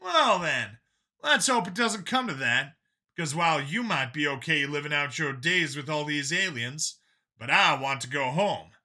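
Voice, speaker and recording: A man's voice: a little high, expressive, moderate pace, bright, forceful tone. A man voicing a skeptical, homesick, plain-spoken male companion in an audiobook, with a questioning, challenging manner. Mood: wistful, firm.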